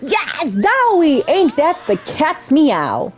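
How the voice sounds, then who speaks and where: A woman's voice: sits high, huge pitch swings, slow, darkish tone, slight background noise, voice pushed up, heavily acted. A woman voicing a peppy male cartoon rabbit who talks in exaggerated, chirpy old-timey slang in a video game. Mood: cheerful, eager.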